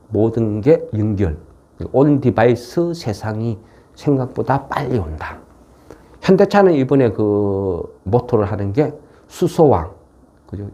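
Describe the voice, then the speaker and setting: A man's voice: fairly low pitch, mellow. A man with a regional, non-Seoul South Korean dialect giving an informal explanatory market lecture in a folksy way, often tagging on rhetorical questions. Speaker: stock market commentator.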